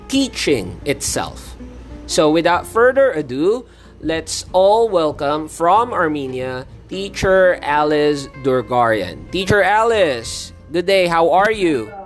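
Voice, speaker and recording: A man, hosting a podcast interview in a formal, measured way. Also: on the high side. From Philippines. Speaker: podcast host.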